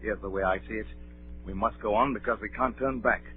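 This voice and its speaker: Sea captain played by a man: low, measured, resolute strategist, period radio drama, thin broadcast band. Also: faint music behind, close to an everyday voice. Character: firm, strategic, level-headed ship's captain. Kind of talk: 1930s radio acting. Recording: narrow, muffled 1930s radio broadcast.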